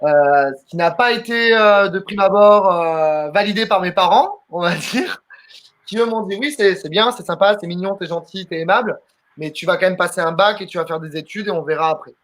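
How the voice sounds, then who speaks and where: A man's voice: high voice, moderate pace, fairly bright tone. A man in his twenties, a comedian from southern France, answering interview questions in a chatty way with many fillers and restarts. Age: 20s. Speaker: guest comedian.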